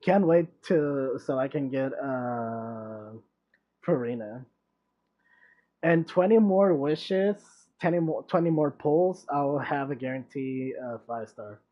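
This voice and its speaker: A game streamer giving casual reaction commentary in a halting way, often repeating words. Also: medium pitch, lively intonation. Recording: dull lo-fi mic. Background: game music.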